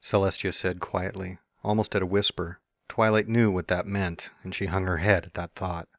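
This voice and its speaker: A man narrates a storybook tale in a very low, deep voice, slipping into a cocky, teasing tone for the hero's own lines. The sound is close and dry, with no room around it. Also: flat delivery, normal speed, no room sound. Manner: first-person narration with playful smug asides. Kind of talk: fan dramatic reading of a story.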